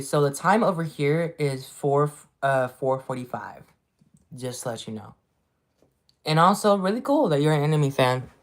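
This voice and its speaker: A woman with a low-pitched voice hosts a relaxed livestream. She chats in a loose, upbeat way, often repeats her phrases, and stops to greet viewers as they drop in. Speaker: livestream host. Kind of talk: casual livestream chat.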